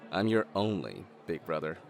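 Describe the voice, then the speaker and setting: A wry tycoon's son in an audio drama. His voice is on the low side and animated, full of dry understatement, with soft music behind. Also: gravelly, full character voice. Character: wry, easygoing adult elder brother, tycoon's son. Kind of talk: naturalistic audio drama acting.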